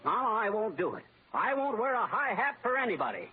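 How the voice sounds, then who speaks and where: High-pitched, flustered henpecked husband. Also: male voice, huge pitch swings, fairly quick, faint music behind. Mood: protesting, pleading. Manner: pleading, stammering, exasperated. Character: flustered, whining henpecked husband and meek office clerk. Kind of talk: old-time radio comedy acting. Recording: tinny, faintly noisy old radio broadcast.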